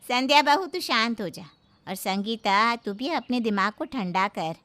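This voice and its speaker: A woman's voice: on the high side, animated, measured pace, noisy background. Woman playing a placating family peacemaker. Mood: soothing, chiding. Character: calming, mediating relative at a family quarrel.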